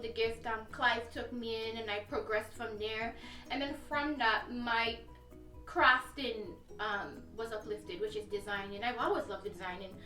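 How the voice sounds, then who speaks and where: A woman with a bright, forceful voice tells her story with animated energy. She sits away from the mic in an echoey room, with faint music behind her. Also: fairly high pitch, recorded from a distance. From Guyana. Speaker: dancer and designer guest. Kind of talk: casual chat.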